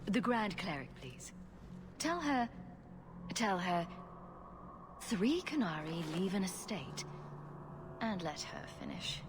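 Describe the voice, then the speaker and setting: A woman's voice: mid-range voice, sing-song, slow, long pauses. An actress playing a bold, sarcastic female rogue adventurer in a video game, with a teasing, challenging manner. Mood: sardonic, challenging. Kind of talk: video game cutscene acting. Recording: clear background ambient noise.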